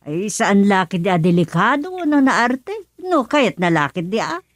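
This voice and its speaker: A woman's voice: medium pitch, very animated, measured pace, dry recording. An actress playing a practical, agreeable middle-aged village mother in a rural radio comedy drama. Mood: curious, agreeable.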